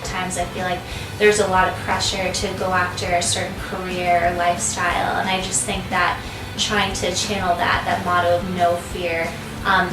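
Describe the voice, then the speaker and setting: A young American woman speaks in a fairly low voice, sharing a personal testimonial. She sounds away from the mic in a roomy space, with clear music behind her. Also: recorded from a distance. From Massachusetts, United States. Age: 20s. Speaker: service program intern.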